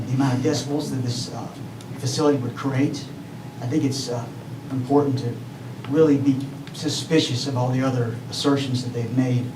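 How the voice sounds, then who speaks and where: A man giving an urgent, earnest public comment at a city council meeting, halting with fillers as he goes. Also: mid-range voice. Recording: echoey hall, distant mic, noisy.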